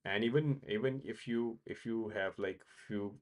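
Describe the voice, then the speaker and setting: Man from Pakistan, low voice, halting casual livestream talk full of "uh" and repeats. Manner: halting, many fillers and repeated words. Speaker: crypto trading livestream host. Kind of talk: casual live-stream chat answering viewers.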